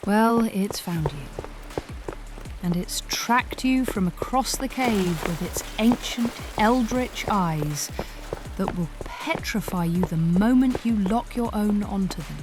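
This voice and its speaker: A woman's voice: medium pitch, lively intonation, slow. Casting: female narrator, mid-range voice, dramatic and suspenseful, for scripted ASMR fantasy storytelling.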